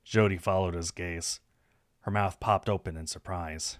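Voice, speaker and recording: A man's voice: low-pitched, steady tone, a little slow, no echo, studio quality. A man reading story narration aloud from a script. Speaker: streamer reading a visual novel aloud.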